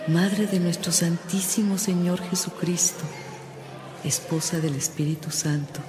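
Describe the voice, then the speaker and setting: An actress playing a devout, gentle mother in a radio drama, soothing and reverent in manner. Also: on the low side, steady tone, a little slow, close to an everyday voice. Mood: prayerful. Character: devout, gentle mother guiding her young children. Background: music.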